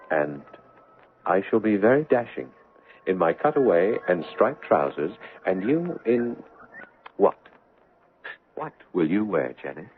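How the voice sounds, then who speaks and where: A man's voice: sits low, slight background noise. A man playing an earnest, anxious young bridegroom, telling his story in formal, ornate phrases in a 1970s radio drama. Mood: wistful, dreamy. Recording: muffled vintage radio broadcast.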